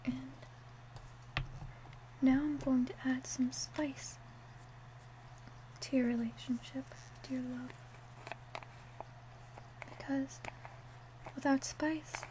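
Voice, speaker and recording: A woman playing a gentle, attentive witch in a soft, unhurried whispered ASMR roleplay. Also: high voice, fairly even intonation, noisy background. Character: gentle, attentive witch mixing love potions.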